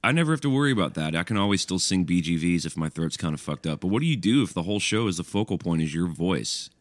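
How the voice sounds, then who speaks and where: A man's voice: sits low, animated, fast, no echo. A male podcast co-host and musician from Alabama, teasing his partner with dry, wry humor. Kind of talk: casual podcast banter.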